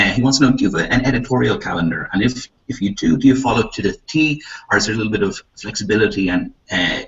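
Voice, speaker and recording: A man hosting an online show, briskly passing on audience questions. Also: fairly low pitch, a bit bright. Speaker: host. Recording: distant, dull lo-fi web call.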